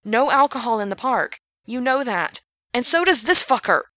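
A woman voicing a self-righteous young male park worker who shouts indignantly. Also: sits high, moderate pace, no room sound, studio quality.

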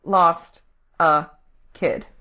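A woman's voice: fairly low pitch, steady tone, very slow, bright, forceful tone, full character voice. Casting: snappish, anxious male medic-fighter played by a female reader, sarcastic edge, audiobook dialogue. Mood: irritated.

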